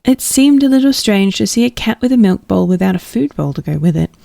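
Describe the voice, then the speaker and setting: A woman's voice: medium pitch, lively intonation, brisk, creaky, warm tone, no room sound, studio quality. A woman reading a children's storybook aloud with playful expression, dropping into whispers at tense moments. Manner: playful, drops to whispers for suspense. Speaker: storybook reader.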